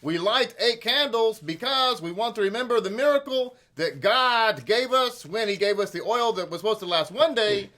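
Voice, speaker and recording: A performer voicing a friendly, excitable rabbi for children, with hesitant, repetitive speech and exclamations of wonder. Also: medium pitch, normal speed, few pauses, lightly acted. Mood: friendly, explaining. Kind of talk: children's question-and-answer skit.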